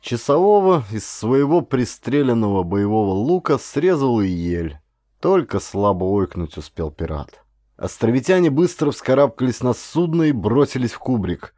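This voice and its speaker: Male narrator for adventure audiobook, mid-pitched, lively intonation, theatrical delivery with deliberate pauses. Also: no echo. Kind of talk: dramatic scripted audiobook narration of historical adventure.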